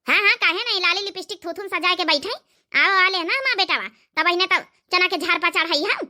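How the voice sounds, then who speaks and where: Cartoon saas, played by a woman, high piercing voice, bossy, sarcastic, sharp-tongued, Awadhi accent. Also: brisk, heavily acted. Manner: scolding, sharp. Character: bossy, sarcastic village mother-in-law, sharp-tongued and proud. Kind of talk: cartoon comedy dialogue.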